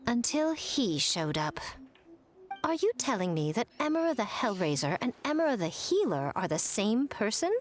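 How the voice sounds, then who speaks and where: An actress playing an elegant, self-assured shrine head in a video game, speaking in a measured, articulate, teasing way. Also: female voice, on the high side, very animated. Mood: incredulous. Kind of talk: English video game voice acting. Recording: faint music behind the voice.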